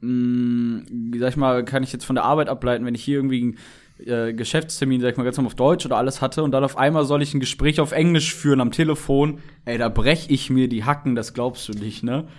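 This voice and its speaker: A man's voice: mid-range voice, steady tone, fast talker. A man in a casual conversation, joking around and adding wry asides to what he reads out. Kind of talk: casual chat.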